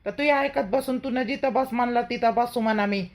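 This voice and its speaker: Woman playing emphatic village mother-in-law, high-pitched, Vidarbha accent. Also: moderate pace, lightly acted. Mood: indignant. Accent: Vidarbha Marathi. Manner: chatty, emphatic, repeats herself. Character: protective, plain-spoken village mother-in-law, middle-aged, advising her daughter-in-law. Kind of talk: homely cartoon drama dialogue.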